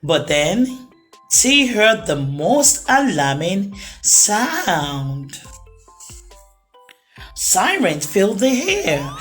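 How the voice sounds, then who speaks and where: A woman reading aloud to children as a storytime host, in an expressive storybook narration style. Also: lowish voice.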